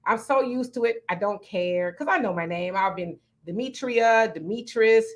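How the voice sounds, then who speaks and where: A woman's voice: medium pitch, normal speed. A woman hosting a livestream, chatting frankly and playfully as she reads out viewer comments. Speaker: livestream host. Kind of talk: casual chat reading viewer comments.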